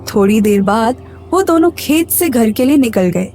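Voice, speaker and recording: A woman's voice: on the high side, animated, normal speed. A woman reading scripted narration for a cartoon moral story as the storyteller. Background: clear background music.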